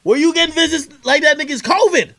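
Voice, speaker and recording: A high-pitched man hosting a livestream debate. He talks in loose, profane street slang. He presses his points insistently and repeats them. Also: fast talker, crowd noise. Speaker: host of a livestream interview. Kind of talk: casual heated argument on a stream.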